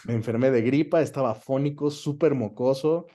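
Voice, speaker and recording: Mellow-voiced Mexican man telling stories. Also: mid-range voice, brisk. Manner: animated anecdote-telling, acts out quoted dialogue. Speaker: guest, voice actor and dubbing director. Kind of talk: casual interview storytelling.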